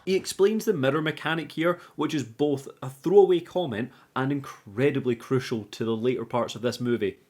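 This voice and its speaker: Male review host, mid-pitched, informal and enthusiastic, sweary recap commentary. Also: fairly quick, hardly any pauses, darkish tone. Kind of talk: casual scripted movie recap commentary.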